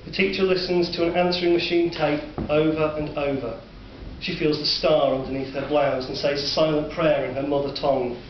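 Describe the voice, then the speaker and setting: A man with a Northern English accent narrating a dark story in a measured, sombre way. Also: on the high side, level tone. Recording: distant, roomy, dull recording with faint hiss.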